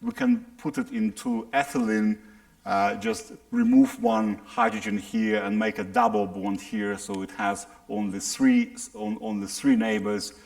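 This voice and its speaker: A middle-aged man with a Russian accent giving a public science lecture, halting and often repeating words as he restarts. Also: fairly low pitch, lively intonation, vocal fry, a little mellow.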